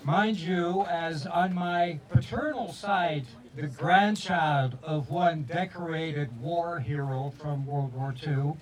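A man from the Netherlands giving a measured speech at an outdoor street rally, heard through a PA with an echo. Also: fairly high pitch, far from the mic. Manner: measured, building to a shout. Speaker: rally speaker.

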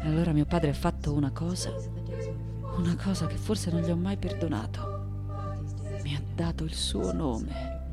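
A woman's voice: lowish voice, lively intonation, a little slow, pauses often, music in the background. An actress playing an elderly, defiant, vengeful woman sculptor. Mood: wounded, resentful. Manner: intense, theatrical, swinging from hushed to loud. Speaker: actress in a radio play. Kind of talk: dramatic radio theatre monologue.

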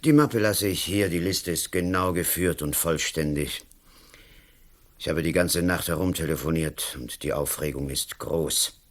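An actor playing a blustering, patronizing politician in a German radio play, talking pompously in short emphatic phrases. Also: male voice, low-pitched, measured pace. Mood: domineering, pompous. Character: blustering, patronizing male politician, a national councillor.

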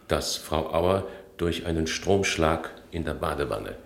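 A methodical male police inspector in a radio crime play. His voice is extremely deep and creaky. He questions slowly and deliberately in a reverberant room. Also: animated, exaggerated voice. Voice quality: gravelly. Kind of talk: naturalistic radio drama acting. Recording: echoey room.

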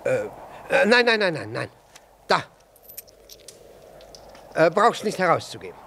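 A flustered, stammering official, wildly swinging pitch. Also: male voice, sing-song, unhurried, creaky, sharp tone, a little hiss or hum, heavily acted. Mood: hurried, evasive. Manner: stammering, repeats words, breaks off. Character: nervous, jealous, self-important Russian official, flustered and obsessive. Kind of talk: German radio play acting.

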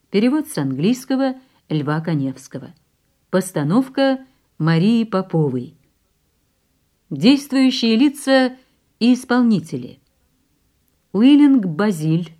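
A woman announcer reading scripted radio drama credits in a formal, measured manner. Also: mid-range voice, warm tone.

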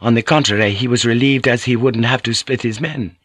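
A man voicing a courteous, gentle Japanese noblewoman in an audiobook, speaking softly and coaxingly. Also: medium pitch, fairly quick, breathy edge, no room sound, studio quality. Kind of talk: audiobook character voice.

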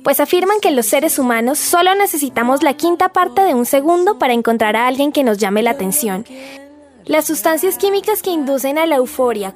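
Very high-pitched Colombian woman narrating. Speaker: radio presenter. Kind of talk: scripted narration.

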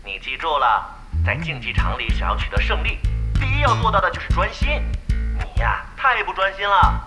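Man acting a pompous, high-pitched gamer mentor. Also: wildly swooping pitch, moderate pace, vocal fry, heavily acted. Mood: preachy. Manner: preachy, pompous. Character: self-important male gamer lecturing like a mentor. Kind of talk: audio drama acting. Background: music.

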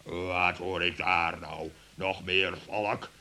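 Big slow polar bear border guard, super deep, hard edged voice, gruff n bossy, kids radio play. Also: male voice, little pitch movement, bright, forceful tone, exaggerated voice. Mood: gruff, officious. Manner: slow, blunt, officious. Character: gruff, stern male polar bear customs officer.

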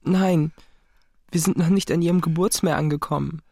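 A high-voiced anxious boy. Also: steady tone, normal speed, vocal fry, a little mellow, no room sound, studio quality. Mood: anxious, pleading. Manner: urgent, pleading. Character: earnest, anxious adolescent boy, devoted to an old man. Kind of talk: radio drama acting.

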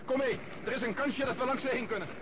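A man playing a kind-hearted, desperate gentleman rescuer in a radio play, urgent and impulsive yet tender. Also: fast talker. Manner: urgent, impulsive, soothing toward the child. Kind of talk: radio drama acting. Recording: very noisy radio play, loud crowd. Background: loud crowd and street rumble.

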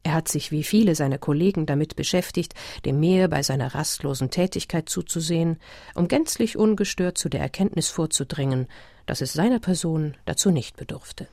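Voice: mid-range voice, fairly quick, creaky, darkish tone, no echo. A narrator reading a scripted documentary commentary in a studio. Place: dry studio.

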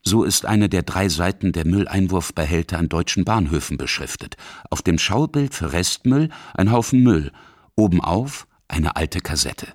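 A man's voice: low-pitched, expressive, moderate pace, dry recording, studio quality. A middle-aged man narrating a scripted radio feature as its author.

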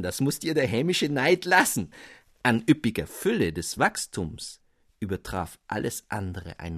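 A man narrating a fairy tale in a scripted, expressive storytelling reading. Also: medium pitch, husky, no room sound, studio quality.

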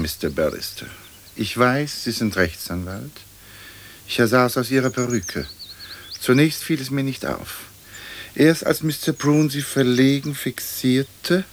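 Radio play role: aristocratic old ghost lord, pompous and dryly ironic, swooping intonation, slightly hissy recording. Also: male voice, medium pitch. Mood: ironic, composed. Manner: pompous, ornate, formal diction. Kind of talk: radio drama acting.

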